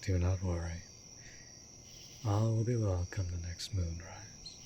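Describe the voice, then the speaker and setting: A man playing an immortal vampire master, a tender and brooding lonely lover, speaking formally and often breathily in a romantic fantasy audio roleplay. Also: sits low. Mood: gentle teasing. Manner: formal archaic wording, slow and deliberate, often dropping to breathy whisper. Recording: steady background noise of night crickets. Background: night insects and crickets.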